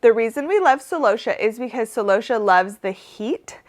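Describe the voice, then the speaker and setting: Woman in her thirties, flower-farm host, talks to camera in an easy, chatty way, slightly higher pitch, a bit stuffy from a cold. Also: animated, moderate pace. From Oklahoma, US. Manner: chatty, upbeat, lots of "like" fillers. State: sniffly with a head cold; heavily pregnant. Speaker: flower farmer and channel host. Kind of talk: casual talk to camera.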